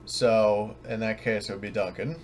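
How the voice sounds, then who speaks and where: A man's voice: lowish voice, fairly even intonation, moderate pace. A man running a tabletop game as game master, speaking casually and hesitantly, stumbling as he works out the rules. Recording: noisy home recording of online game session.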